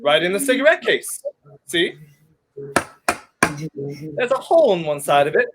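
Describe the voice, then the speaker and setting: A man's voice: sits high, sing-song, unhurried, very noisy. A young man playing a debonair, wry fugitive in a 1930s radio play, with a clipped theatrical delivery. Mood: wry, matter-of-fact. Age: young adult. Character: debonair, wry wrongly accused man on the run.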